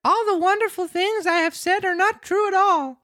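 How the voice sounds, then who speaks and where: A woman's voice: moderate pace, fairly bright tone, dry recording, clean studio sound. A woman voicing a dramatic young wooden boy puppet in a storybook reading. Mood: earnest. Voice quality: squeaky. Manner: exclamatory, theatrical storybook delivery.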